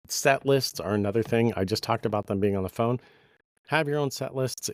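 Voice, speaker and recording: A middle-aged man, a bass player with a slight cold, giving casual, rambling advice to musicians with plenty of fillers. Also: darkish tone, dry recording. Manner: conversational, many fillers, anecdotal. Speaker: podcast host, bass player.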